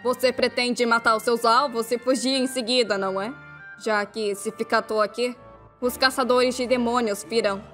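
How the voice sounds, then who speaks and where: Woman acting a high, blunt Brazilian schoolgirl. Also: steady tone, moderate pace, fairly bright tone, natural voice. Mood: cold, provoking. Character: troubled schoolgirl and cold devil sharing her body. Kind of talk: anime-style fan dub of a motion manga. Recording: music behind the voice.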